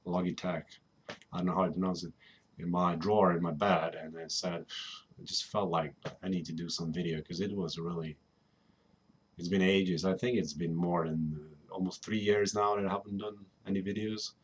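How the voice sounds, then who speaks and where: A man's voice: low voice, fairly even intonation, normal speed, a little hiss or hum. A young man from Slovenia with a Slovenian accent, chatting casually to a webcam at home and rambling with lots of "you know what I mean". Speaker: vlogger. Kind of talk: casual personal chat to camera. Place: home room in England.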